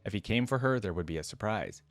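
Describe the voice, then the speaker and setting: A man's voice: lowish voice, expressive, fast, dry recording, faint music behind. A man hosting a reaction video, reading a story aloud with casual commentary.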